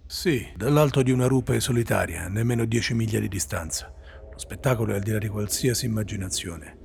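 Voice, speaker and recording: An actor playing a brooding male warlock swordsman in an Italian audio drama, speaking low and guarded. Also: brisk, gravelly, darkish tone, slight background noise. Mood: haunted.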